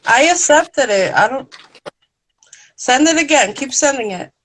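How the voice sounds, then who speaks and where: A woman's voice: high voice, animated, moderate pace, fairly bright tone, slight background noise, rough recording. A woman hosting a casual livestream chat in an informal, slangy way. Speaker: podcast host. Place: remote video call.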